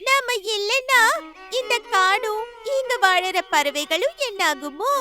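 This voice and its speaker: A woman's voice: very high voice, takes it slowly, bright, forceful tone, faint music behind, voice pushed up, heavily acted. A woman voicing an arrogant, taunting magic mango in a cartoon fable. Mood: smug, aggrieved. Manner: boastful, taunting.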